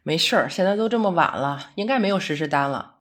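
一位女演员在广播剧里饰演闪送女快递员，说话口语化、直来直去，热心又有点较真。. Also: female voice, on the low side, animated, fast, lightly acted. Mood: businesslike, helpful. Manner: colloquial, blunt, good-natured. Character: chatty, straightforward female delivery courier, earnest and quirky. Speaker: courier in an audio drama. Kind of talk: naturalistic modern audio drama dialogue.

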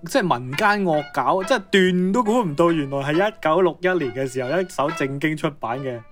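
Chatty young Cantonese man, higher-pitched voice. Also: expressive, fairly quick, faint music behind. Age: 20s to 30s. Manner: chatty, reacts with exclamations and questions. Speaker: co-host of a Cantonese pop history programme. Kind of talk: casual conversational radio chat.

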